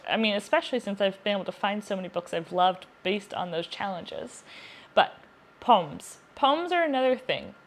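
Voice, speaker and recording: A woman's voice: mid-range voice, animated, moderate pace. A woman with a mid-range voice talks casually to her viewers as a book reviewer. Her delivery is chatty and earnest, and she often repeats a word to stress it. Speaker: book reviewer, video host.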